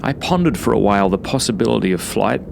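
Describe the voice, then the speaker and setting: A man narrating a first-person horror story like a journal, brooding and sometimes hushed, in short halting phrases. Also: fairly low pitch, animated, creaky, noisy background. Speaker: author reading own horror story.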